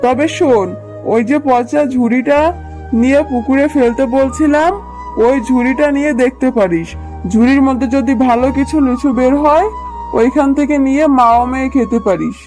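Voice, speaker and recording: A domineering cartoon tigress with a high voice, played by a woman. She is a stingy, strict boss who scolds with sarcasm. Faint music runs underneath. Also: normal speed, dark tone, lightly acted, rough recording. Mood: scornful, refusing. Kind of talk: children's cartoon dubbing.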